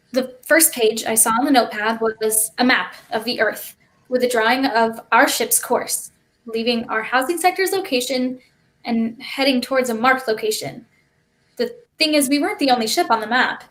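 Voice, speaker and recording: A woman's voice: a little high, normal speed, fairly bright tone, natural voice. A woman acting a gloomy, fearful child in a staged play reading, grave and hesitant. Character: stunned, gloomy child named Finn, fearful and reluctant.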